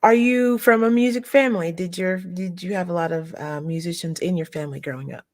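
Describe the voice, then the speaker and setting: A woman hosting a casual interview, chatty and quick to laugh, with short encouraging replies. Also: lowish voice. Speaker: host.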